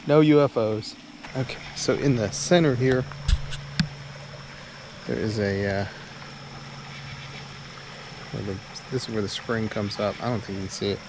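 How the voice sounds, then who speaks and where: Man, low pitch, hesitant casual vlogger, chuckling manner, outdoors, some background noise. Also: fairly even intonation, measured pace, pauses often. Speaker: channel host. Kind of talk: casual camping vlog narration. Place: outdoors at a campsite.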